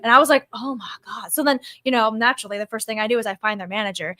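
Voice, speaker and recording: A woman guest musician chatting casually, excitable and gushing, full of "like" and "oh my gosh". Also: on the high side, rapid-fire, no echo, clean studio sound. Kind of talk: casual chat.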